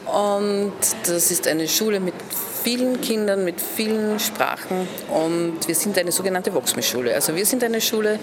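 A woman's voice: mid-range voice, animated, moderate pace, noisy background. A woman teacher introducing herself and her school in an interview. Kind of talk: interview answers. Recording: crowd chatter behind.

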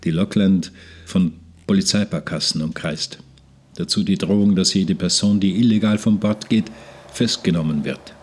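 Radio drama narrator: sleepless seaman, lowish voice, measured and brooding log entries. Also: darkish tone, breathy edge. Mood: sombre, weary. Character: sleepless, troubled seaman reading his ship's log. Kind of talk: radio play diary narration.

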